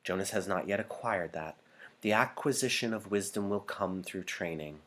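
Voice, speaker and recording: Solemn man-voiced chief elder, formal and deliberate. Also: low-pitched, fairly bright tone. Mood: solemn, ceremonial. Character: chief elder, solemn authoritative woman leader addressing community. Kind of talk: audiobook character dialogue.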